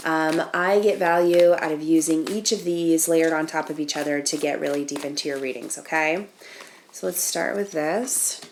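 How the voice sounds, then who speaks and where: A woman's voice: sits low, moderate pace. A woman hosting a casual tarot reading, nasal and sniffly from a cold, chatty and full of ums and restarts as she talks through each card. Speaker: tarot reader and channel host.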